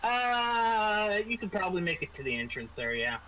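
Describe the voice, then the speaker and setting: A man acting as game master, explaining a tabletop game casually in a halting way. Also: high-pitched, big pitch swings, sharp tone. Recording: clearly noisy room recording.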